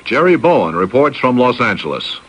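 A man's voice: medium pitch, sing-song, brisk, fairly bright tone, slightly rough, poor audio. An American man anchoring a radio newscast, reading scripted news.

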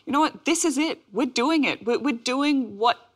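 A woman's voice: high-pitched, expressive, moderate pace. A woman, answering interview questions in an earnest, reflective way. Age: 20s. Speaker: interview guest, athlete.

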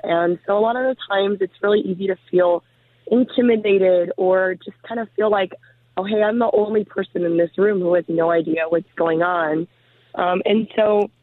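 Hoarse woman on a tinny phone line. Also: fairly low pitch, no echo. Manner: hesitant, many ums. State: lost her voice from a cold. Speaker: guest, program founder. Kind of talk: casual interview talk.

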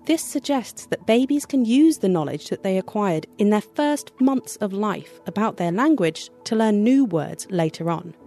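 A woman producer presenting a science podcast in clear, scripted explanatory narration. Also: medium pitch, darkish tone. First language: English.

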